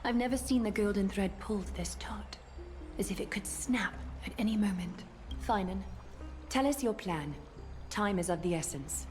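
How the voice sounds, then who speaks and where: An actress playing a regal, composed and stern female leader in an anime fantasy game, with formal, measured speech. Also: medium pitch, soft background music, lightly acted. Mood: stern, grave.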